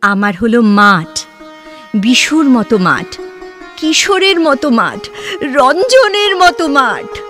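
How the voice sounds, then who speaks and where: A woman's voice: sits high, big pitch swings, slow, a bit bright, soft background music, heavily acted. A woman playing a free-spirited, inquisitive, romantic young woman in an audio drama, with a playful, probing and theatrical delivery. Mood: lyrical, tender. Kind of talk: Bengali audio drama dialogue.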